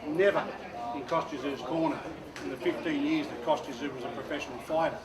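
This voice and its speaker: A man's voice: fairly high pitch, fairly even intonation, brisk. A man making an insistent, emphatic statement in public. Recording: echoey distant room, loud crowd.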